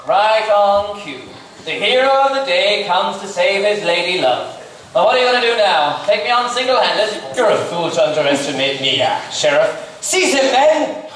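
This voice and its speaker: Pompous pantomime villain, echoey and high. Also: male voice, sing-song, moderate pace, a bit bright, far from the mic, a little hiss or hum. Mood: mocking. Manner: pompous, theatrical, declaiming. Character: gloating evil pantomime villain, greedy male schemer. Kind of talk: stage pantomime acting. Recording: echoey theatre stage recorded from afar.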